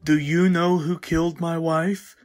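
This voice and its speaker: A man's voice: on the high side, flat delivery, a little slow, soft background music, natural voice. A man playing a bewildered, grieving husband in an old-time radio drama, speaking earnestly. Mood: shaken. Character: distraught, bewildered widowed husband. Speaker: supporting actor.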